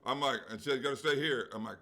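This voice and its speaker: Animated man telling a long story. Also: medium pitch, rapid-fire. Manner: animated storyteller, acts out quoted people, swears freely. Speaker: podcast co-host. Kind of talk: casual chat, long anecdote.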